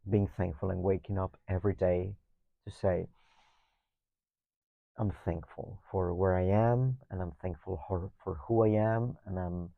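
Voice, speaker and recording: Low gravelly Mexican man. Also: fairly even intonation, measured pace, no echo, phone-quality sound. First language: Spanish. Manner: hesitant, repeats words, ends with "no?". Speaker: guest. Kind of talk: reflective conversation.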